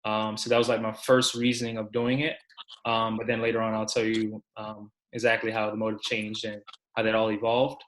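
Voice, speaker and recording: Flat-voiced young man from Maryland. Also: lowish voice, fairly quick, low-quality recording. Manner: relaxed, many ums, flat delivery. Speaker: basketball coach, guest. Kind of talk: casual interview answers. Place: video call.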